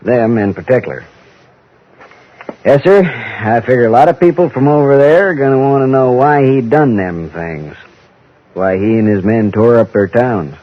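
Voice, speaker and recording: An actor playing a blunt, guarded Western sheriff with an American Western accent and folksy, terse speech in an old-time radio drama. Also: male voice, medium pitch, moderate pace, natural voice. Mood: stubborn, firm. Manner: terse, drawling rustic speech. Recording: dull, muffled old radio recording.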